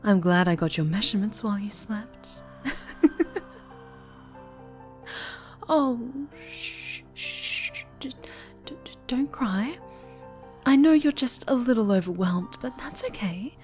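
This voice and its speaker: A woman playing a sinister, obsessively doting dark-angel superhero in an intimate ASMR roleplay, cooing and whispering with sweet menace. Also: mid-range voice, expressive, measured pace, soft background music, natural voice. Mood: cooing, condescending.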